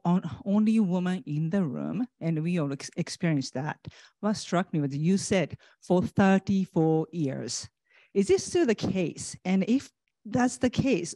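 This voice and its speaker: A woman asks a polite question, halting over a few words. Her voice is slightly low and mellow. The sound is dry. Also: dry recording. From Japan. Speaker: audience member asking a question. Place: large conference hall.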